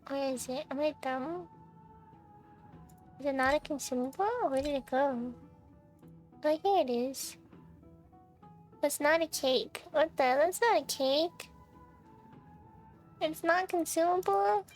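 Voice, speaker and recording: A woman's voice: high voice, slow, pauses often, faint music behind. A woman livestreaming a video game with casual, playful chat, often musing aloud to her viewers.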